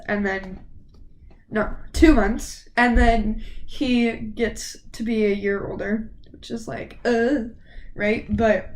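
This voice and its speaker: Sniffly teen girl vlogger, slightly high. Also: a little hiss or hum, poor audio. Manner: rambling, gushing fan talk. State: sniffly from a cold. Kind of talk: casual chat to camera.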